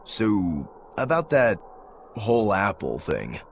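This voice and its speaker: A man's voice: lowish voice, very animated, takes it slowly, creaky, slight background noise, full character voice. An actor playing a laid-back, worldly male demon in a game, speaking with dry wit. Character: easygoing adult male demon, wry and worldly.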